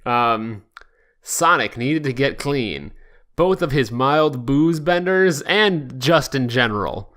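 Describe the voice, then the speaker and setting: A man hosting a podcast, reading fan fiction aloud in a jokey, deliberate way with frequent asides. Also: medium pitch, animated, a bit bright. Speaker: podcast host.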